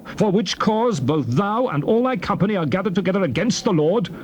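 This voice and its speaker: A commanding, indignant prophet in a scripture drama. His slightly high male voice is emphatic and declamatory, over faint hiss. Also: animated, brisk, darkish tone. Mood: indignant, rebuking.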